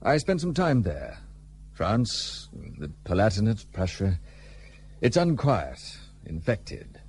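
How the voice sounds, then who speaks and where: An actor playing a gruff, guarded, well-travelled gentleman in a period radio play, speaking in a dry, formal, old-fashioned way. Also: lowish voice, big pitch swings, takes it slowly, exaggerated voice. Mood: bitter, prickly. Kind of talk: period radio drama acting.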